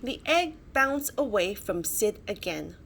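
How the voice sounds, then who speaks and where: A woman narrating a children's bedtime story as a storybook reader, in an animated style. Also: mid-range voice, a little slow, vocal fry, slight background noise.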